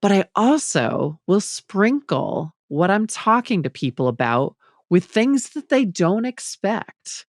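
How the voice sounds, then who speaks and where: Slow-spoken woman with a low, even voice. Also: no echo, clean studio sound. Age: middle-aged. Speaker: podcast guest. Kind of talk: conversational advice in an interview.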